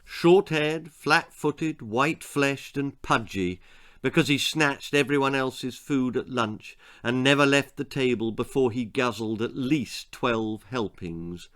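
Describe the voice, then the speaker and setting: A man with a British accent reading his own children's story as scripted narration, dramatic and with pointed pauses. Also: mid-range voice, fairly bright tone.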